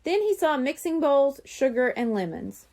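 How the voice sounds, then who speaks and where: A woman reading a children's chapter book aloud in an expressive storytelling manner. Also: high-pitched, normal speed. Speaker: audiobook reader.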